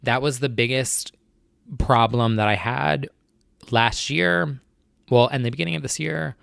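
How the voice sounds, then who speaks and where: A young man with a gravelly, creaky voice, chatting alone into a close mic about his nerves. He rambles and restarts with plenty of fillers, and the recording is dry and tight. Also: mid-range voice, a little slow, fairly bright tone, no room sound. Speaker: podcast host. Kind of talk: casual solo chat.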